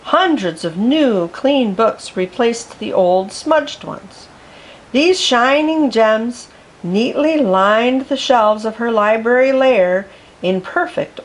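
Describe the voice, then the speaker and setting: A woman reading a picture book aloud to children in an expressive way, pausing to explain words. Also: a little high, poor audio. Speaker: storyteller.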